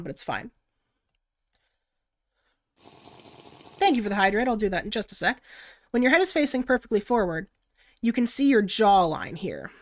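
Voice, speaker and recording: A young woman with a stuffed-up nose from the flu, casually explaining her digital art process on a live stream in a chatty, giggly way. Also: mid-range voice. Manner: chatty and giggly, often reading chat aloud.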